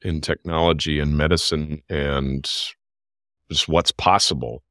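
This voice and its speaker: A man's voice: very deep voice, steady tone, unhurried, bright, forceful tone, no echo, clean studio sound. A man hosting a podcast over a video call, wrapping up an interview with effusive praise. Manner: effusive, praising, upbeat wrap-up. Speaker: podcast host.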